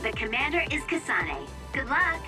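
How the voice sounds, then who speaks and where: A woman's voice: high voice, big pitch swings, normal speed, music in the background. An actress playing a friendly, perky young woman who gives mission briefings as an operator in a video game. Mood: upbeat. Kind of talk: English video game voice acting.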